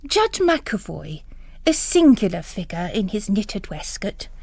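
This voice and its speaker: A woman's voice: medium pitch, very animated, takes it slowly, creaky, darkish tone, no room sound. A woman giving a scripted literary narration of a novel.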